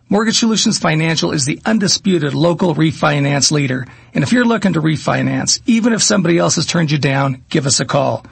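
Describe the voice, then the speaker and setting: A man's voice: on the high side, gravelly, breathy edge, no room sound. A man reading his own company's radio commercial in an earnest, direct way. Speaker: business owner in his own ad. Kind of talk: scripted radio ad read.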